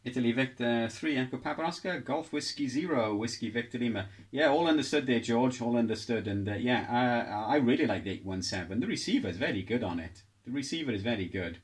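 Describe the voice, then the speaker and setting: A man talking casually as an amateur radio operator, unhurried and repeating phrases for emphasis. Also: medium pitch, lively intonation. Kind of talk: casual ham radio contact. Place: home radio shack.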